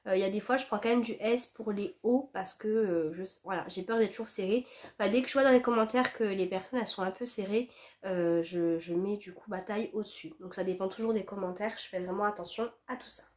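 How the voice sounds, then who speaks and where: A woman's voice: mid-range voice, a little fast, a little mellow. Young woman, stuffy from a cold, chatty home vlog delivery full of fillers. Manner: chatty, many fillers and restarts. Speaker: fashion vlogger. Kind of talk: casual haul chat to camera. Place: at home, indoors.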